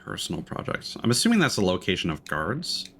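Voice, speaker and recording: A man's voice: fairly low pitch, huge pitch swings, a little fast, gravelly, fairly bright tone, breathy edge, faint music behind. A man giving casual commentary on a gaming livestream, musing and thinking aloud as he goes. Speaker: game streamer.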